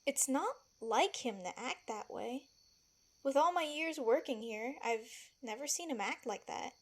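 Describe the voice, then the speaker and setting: A woman's voice: high-pitched, lively intonation, measured pace, heavily acted. A woman acting a feisty, protective palace servant in an audio drama, blunt and emphatic. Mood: reassuring, frank.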